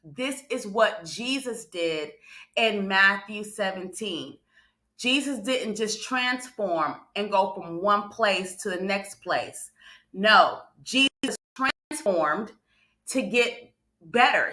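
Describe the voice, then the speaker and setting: A woman delivers a motivational morning sermon to online listeners. Her voice is bright and forceful at a middle pitch, and the room echoes around it. She leans on repeated phrases and often checks in with her audience. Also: animated, slow. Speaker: guest preacher hosting a morning livestream. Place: indoor room on a livestream. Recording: roomy, echoing livestream audio.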